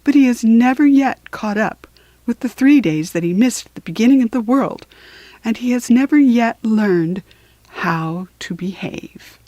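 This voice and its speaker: Slow, highly expressive woman storyteller. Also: dry recording, muffled recording. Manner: expressive, deliberate. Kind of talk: children's story and verse reading.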